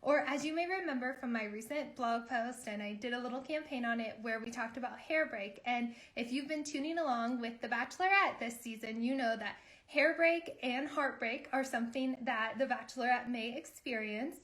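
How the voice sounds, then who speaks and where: A woman's voice: fairly high pitch, moderate pace, hardly any pauses, fairly bright tone. A woman hosting an online interview show, upbeat and encouraging in manner. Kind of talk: live online interview, scripted sponsor read then casual chat.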